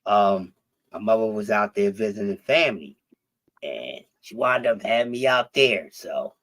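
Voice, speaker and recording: A man's voice: on the low side, expressive, a little slow, a bit bright. Rambling, cussing Philly man. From Philadelphia. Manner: profane, rambling, with many restarts. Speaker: stream guest. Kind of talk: casual chat.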